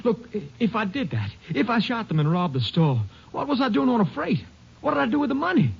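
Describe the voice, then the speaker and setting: Husky, high-voiced, desperate ex-convict. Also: male voice, big pitch swings, brisk, exaggerated voice. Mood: desperate, indignant. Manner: pleading, defensive, agitated rural vernacular. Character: frightened, desperate male ex-convict pleading innocence. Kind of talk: 1950s radio drama acting. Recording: dull vintage 1950s radio recording.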